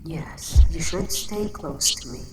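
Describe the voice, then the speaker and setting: Audio drama role, woman performer: giant kaiju queen, female, ancient and composed; deep register, very slow measured delivery, big echoing cave sound. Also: lively intonation. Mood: patient, matter-of-fact. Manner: measured, matter-of-fact, slightly formal speech. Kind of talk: scripted roleplay audio drama monologue. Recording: very echoey cavern-like reverb, loud background noise.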